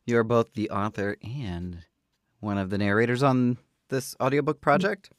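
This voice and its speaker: A man's voice: mid-range voice, normal speed, dry recording, studio quality. A man who works as an audiobook narrator and panel host, speaking expressively.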